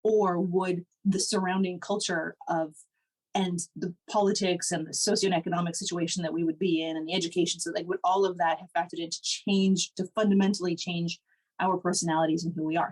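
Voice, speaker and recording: Chatty woman, low voice. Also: fairly even intonation, normal speed, darkish tone, rough recording. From Canada. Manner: chatty, frequent "like", laughs while telling stories. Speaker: podcast co-host. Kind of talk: casual conversation.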